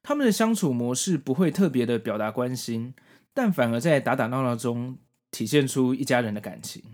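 A man's voice: medium pitch, a little mellow. A man hosting a podcast, narrating and commenting on a story from prepared notes in an explanatory way. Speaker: podcast host.

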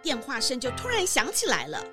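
Animated woman narrating a children's story. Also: high-pitched, a little fast, music in the background.

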